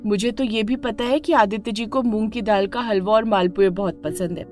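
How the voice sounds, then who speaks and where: A woman's voice: mid-range voice, fairly even intonation, moderate pace, a little mellow, soft background music, natural voice. A woman voicing a sly, attentive young woman who plays the rival in a melodramatic story. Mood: sweet, smug. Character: caring, sly young woman friend Mukta, coolly stealing a husband. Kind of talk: melodramatic Hindi moral story acting.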